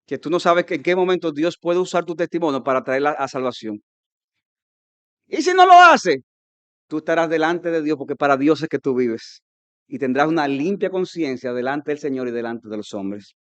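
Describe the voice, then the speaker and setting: A man with a Dominican Spanish accent preaching a conference sermon, emphatic and rising to loud peaks, mimicking his listeners' objections. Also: fairly high pitch, sing-song, moderate pace, no echo. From Dominican Republic. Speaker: pastor.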